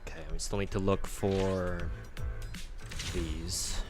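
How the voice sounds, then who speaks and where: A man's voice: low-pitched, level tone, slow. A man streaming a game, chatting casually in a rambling, profane way full of "like" fillers. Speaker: gaming streamer. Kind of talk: casual livestream chat while playing. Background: game music.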